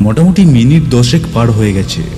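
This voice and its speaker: Male horror narrator, mid-range pitch, dramatic and suspenseful first-person storytelling with weighted pauses, clear music bed underneath. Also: expressive, dark tone. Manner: suspenseful, theatrical, with deliberate pauses and repeated words.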